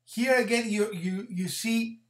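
A man in his fifties with a fairly high-pitched voice presents a hobby tutorial. He explains things step by step in a measured, halting way, often repeating a word before going on. He is recorded at about arm's length in a room at home. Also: background noise. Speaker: presenter of a DIY hobby channel. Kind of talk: explanatory tutorial talk to camera.

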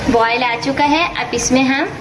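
Female home cook for recipe voice-over, high voice, roomy echo. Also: moderate pace, heavy background noise. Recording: echoey room, music underneath. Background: background music.

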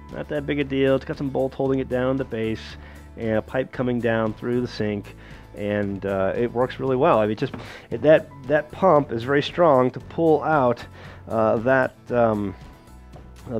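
A man's voice: mid-range voice, darkish tone. A man giving a casual how-to demonstration in a plain-spoken, reassuring, repetitive way. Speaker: homesteading host demonstrating a pump. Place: home kitchen.

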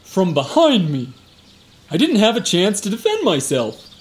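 Casting: self-important bumbling security guard for radio comedy, slow-talking with hugely animated intonation. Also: male voice, high voice, full character voice. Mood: bumbling bravado. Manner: bragging, exaggerated, bumbling. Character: boastful, dim, attention-hungry male security guard. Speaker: temple guard witness. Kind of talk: comic radio play.

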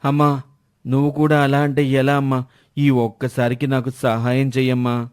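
A man's voice: medium pitch, completely flat delivery, normal speed, smooth, natural voice. A man voicing an eager, ambitious young married son in a moral story, earnest and wheedling. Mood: pleading. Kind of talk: animated moral story dialogue.

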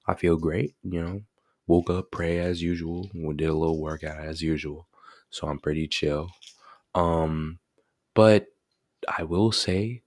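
An eighteen-year-old man chatting casually in a bedroom vlog, laid-back and slangy with lots of fillers, into a desk mic on a boom arm. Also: dry recording, studio quality. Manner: laid-back slangy talk, many fillers like bro and you know. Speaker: vlogger. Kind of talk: casual birthday vlog chat. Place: small bedroom indoors.

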